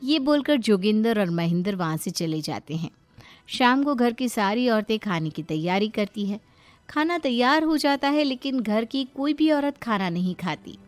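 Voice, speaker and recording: A woman's voice: on the high side, expressive, normal speed, no echo, faint music behind. A woman reading a scripted moral story as its narrator.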